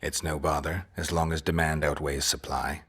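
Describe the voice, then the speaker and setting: A man playing a stoic mutant monster hunter in a video game, terse and deadpan with a dry wit. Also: normal speed, full character voice. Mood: wry. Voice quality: husky, gravelly low voice.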